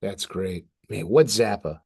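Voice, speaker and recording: A man's voice: lowish voice, measured pace, gravelly, darkish tone, breathy edge. A man co-hosting a show, chatting casually and reacting with exclamations and enthusiasm. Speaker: show co-host. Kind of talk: casual chat, reacting to comic art.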